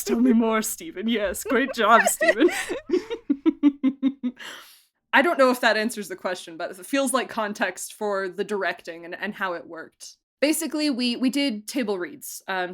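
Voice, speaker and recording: A woman being interviewed as an audio drama director, talking casually and enthusiastically like an animated storyteller. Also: medium pitch. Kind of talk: casual behind-the-scenes chat.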